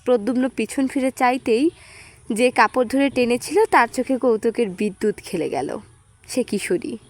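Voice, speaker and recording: High-voiced woman reading a literary story. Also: normal speed. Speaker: audio story reader. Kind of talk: scripted literary narration.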